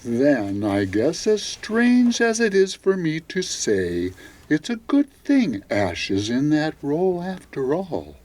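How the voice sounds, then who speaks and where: An actor playing a sarcastic, needling radio DJ in an audio drama, with a sardonic way of talking. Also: male voice, a little high, wildly swooping pitch, slow pace, a little mellow, full character voice. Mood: grudging. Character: sarcastic, needling male radio DJ who stirs trouble. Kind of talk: audio theater acting.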